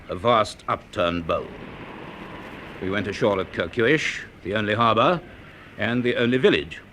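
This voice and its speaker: Low-voiced, formal professor. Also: male voice, fairly bright tone, slight background noise. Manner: formal, measured, literary delivery. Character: reserved, brisk male university professor, drily storytelling. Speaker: narrator and lead character. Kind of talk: BBC radio drama acting and narration.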